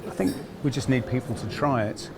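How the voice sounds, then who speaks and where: A man speaking at an event about health technology, measured and making his case with figures. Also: mid-range voice, creaky. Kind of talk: panel interview at an event. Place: conference event. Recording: busy crowd noise behind.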